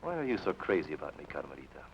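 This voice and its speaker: An actor playing a suave, menacing outlaw who talks in a smooth, sardonic, commanding way, in 1940s radio acting. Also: male voice, a bass voice, sing-song, racing, a little hiss or hum, exaggerated voice. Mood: mocking. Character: suave menacing outlaw brother, cold and mocking. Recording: muffled old radio broadcast with music.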